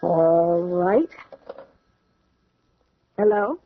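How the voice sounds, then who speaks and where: A woman's voice: fairly low pitch, lively intonation, extremely slow, heavily acted. A woman playing a forthright young girlfriend in a radio drama, direct and challenging in her delivery. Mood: uneasy. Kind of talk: 1970s radio drama acting. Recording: muffled old radio drama recording.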